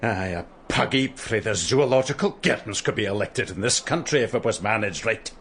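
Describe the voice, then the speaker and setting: A man's voice: on the low side, animated, normal speed, fairly bright tone, slightly rough. An actor playing a canny, scornful moneyed father-in-law with a Scottish accent, blunt and sardonic, in a radio drama. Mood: sardonic flattery.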